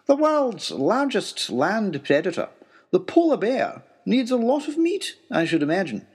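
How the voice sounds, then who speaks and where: Guy doing a professor, high pitched, lecturing, drily factual, storybook adventure. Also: big pitch swings, measured pace, darkish tone, slightly rough, heavily acted. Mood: dry, informative.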